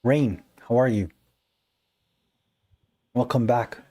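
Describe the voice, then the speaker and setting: A man hosting a live call-in religious debate on a phone stream, explaining his points earnestly and briskly. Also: medium pitch, warm tone. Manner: earnest, explanatory, quick to dismiss callers. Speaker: livestream host debating callers. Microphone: phone livestream.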